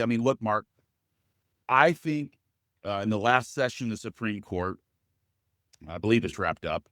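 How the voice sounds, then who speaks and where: Deep, loud-voiced man. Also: no echo, studio quality. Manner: earnest, measured, addresses host by name often. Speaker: guest. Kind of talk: interview conversation.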